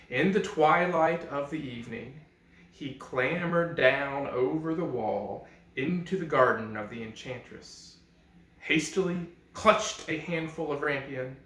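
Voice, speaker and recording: A man narrating a fairy tale as a storyteller, with expressive, dramatic and deliberate phrasing. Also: a little high. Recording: echoey, roomy sound.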